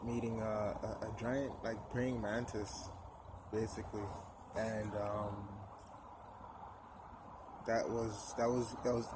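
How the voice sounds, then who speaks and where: A man in his twenties talks to camera, stopping and restarting often as he searches for words. His voice sits low and barely rises or falls. Traffic and outdoor noise run clearly under the whole recording. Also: little pitch movement. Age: 20s. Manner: halting, full of pauses and restarts. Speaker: vlogger. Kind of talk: personal spiritual monologue to camera.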